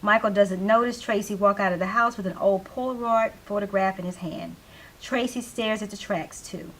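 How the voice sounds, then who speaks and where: Woman, medium pitch, scripted play narration, stage directions, slight hiss. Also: moderate pace, rough recording.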